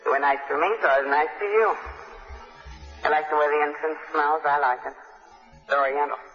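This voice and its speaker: A man's voice: on the high side, fairly even intonation, fairly quick, natural voice. An actor playing a flirtatious, clingy, sassy platinum blonde woman in a 1950s radio noir drama. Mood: teasing, coaxing. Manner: coaxing, sassy, slangy. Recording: muffled 1950s radio recording.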